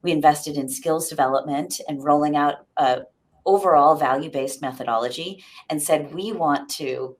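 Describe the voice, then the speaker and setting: Low-pitched woman explaining things conversationally. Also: normal speed. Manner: explanatory, often checks with "right?". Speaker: sales executive guest. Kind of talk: conversational interview answers.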